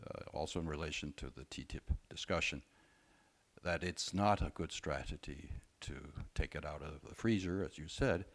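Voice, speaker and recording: A man speaking as a panelist at a conference discussion, measured and often hesitating. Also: very deep voice. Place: conference room.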